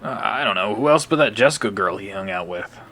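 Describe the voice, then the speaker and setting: A man playing a shifty, rattled young bully in an audio drama, speaking in a halting, defensive way. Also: fairly low pitch, expressive, pushed and bright. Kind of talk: audio drama dialogue, conversational.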